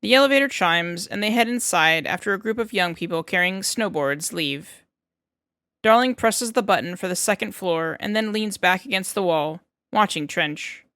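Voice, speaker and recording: A woman's voice: medium pitch, lively intonation, normal speed, fairly bright tone, dry recording, studio quality. A woman reading scripted fiction as an audiobook narrator.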